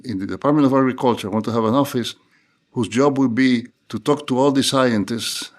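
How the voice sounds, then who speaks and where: An older man from Cuba, a theologian guest, talking in a measured, reflective way with frequent restarts. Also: medium pitch. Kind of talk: unscripted interview talk.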